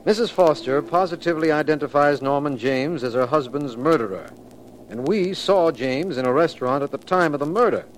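An actor playing a skeptical, plain-spoken district attorney, speaking and narrating in 1940s radio crime drama style. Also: male voice, on the high side, fairly quick, close to an everyday voice. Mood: skeptical, businesslike. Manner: plain-spoken, matter-of-fact. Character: brisk, skeptical male district attorney, detective's companion. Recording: noisy vintage radio recording.

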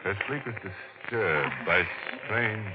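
Slow, macabre old-time radio horror host. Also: male voice, on the low side, expressive. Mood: hushed, ominous. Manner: theatrical, drawn-out delivery with morbid jokes. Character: macabre, tongue-in-cheek male horror host. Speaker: radio mystery show host. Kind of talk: 1940s radio horror hosting and storytelling. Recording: muffled, noisy 1940s radio broadcast.

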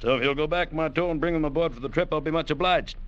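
An actor playing a callous, money-minded schooner captain in a radio drama, sardonic and dismissive. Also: male voice, a little high, fast, natural voice. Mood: mocking, dismissive. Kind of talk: 1940s-50s radio drama acting. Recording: dull, hissy old radio broadcast.

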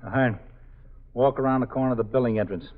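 An actor playing a brisk, commanding police sergeant giving clipped orders in 1950s radio drama style. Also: male voice, mid-range voice, fairly even intonation, natural voice. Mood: brisk, businesslike. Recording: phone-like muffled 1950s radio recording.

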